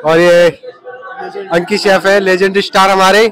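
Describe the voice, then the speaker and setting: A man's voice: high-pitched, level tone, moderate pace. A man giving a casual, informal vlog walk-through as a chef, introducing and pointing things out. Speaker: chef vlogging his hotel restaurant. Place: large indoor hotel banquet restaurant. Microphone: handheld phone behind the camera. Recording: music behind, handheld phone in busy venue. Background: loud music.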